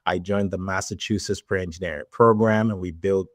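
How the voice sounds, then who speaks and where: A man in his forties speaks with a Nigerian accent, recalling his path in a measured, reflective way. His voice sits low. The sound is dry and close, with no room around it. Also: steady tone, no room sound. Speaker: podcast guest, tech executive. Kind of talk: casual interview talk.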